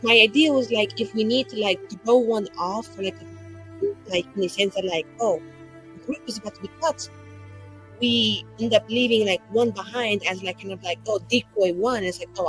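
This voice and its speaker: A woman's voice: mid-range voice, a bit bright, rough recording. A woman playing a tabletop roleplaying game, planning casually in informal, slangy speech. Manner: informal, slangy, frequent use of like.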